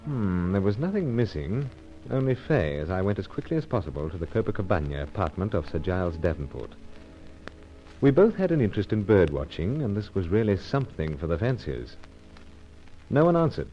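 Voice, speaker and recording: An actor playing a suave British secret agent, clipped, terse and wry, in an old radio serial. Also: male voice, sits low, very animated, brisk, soft background music. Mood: narrating. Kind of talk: mid-century radio serial acting with narration. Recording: dull, noisy old radio recording.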